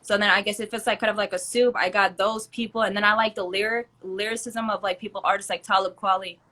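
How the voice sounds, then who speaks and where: A young woman chats easily in an interview, her voice sitting at a middle pitch. She leans on fillers and laughs often as she talks, relaxed and open. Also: quick pace. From born in Germany to Turkish parents, raised in the US. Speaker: rapper and entrepreneur, guest. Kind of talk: casual interview chat.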